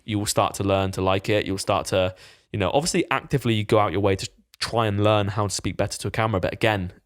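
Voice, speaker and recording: Brit guy talking fast on a podcast, lowish voice, rambly and casual, swears a lot. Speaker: podcast co-host, fitness coach.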